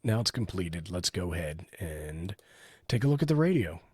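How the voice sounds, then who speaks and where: A man's voice: deep voice, big pitch swings, normal speed, darkish tone, breathy edge, no echo, studio quality. A man giving a casual hands-on product review, explaining each step as he goes. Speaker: radio hobbyist reviewer, host.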